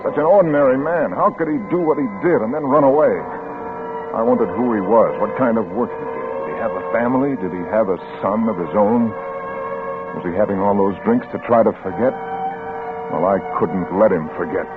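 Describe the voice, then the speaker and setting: A man's voice: on the low side, a little fast, lightly acted. An actor playing a bitter, brooding bereaved father, tense and narrating in a 1950s radio drama on a muffled old recording with music. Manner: tense, brooding, stammers in dialogue.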